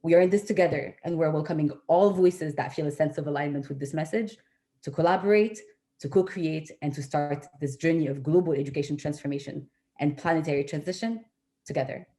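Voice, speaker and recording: A woman delivering a prepared advocacy speech as an education activist, speaking earnestly in measured, deliberate phrases. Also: low voice, mellow. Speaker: youth education movement co-founder, speaker.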